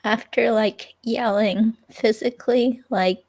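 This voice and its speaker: A woman playing a hesitant, uneasy young ex-girlfriend giving a police statement in a dramatic transcript reading, halting and full of fillers. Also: fairly high pitch, steady tone, a little mellow. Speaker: reader playing an interviewee.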